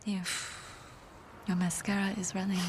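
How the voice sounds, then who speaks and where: A woman plays a soft, seductive woman from an audio drama, talking in a breathy near-whisper. Her pitch sits in an ordinary middle range. Steady background noise runs under the scene. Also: moderate pace, close to an everyday voice. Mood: tender. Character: tender, seductive woman courting a coworker.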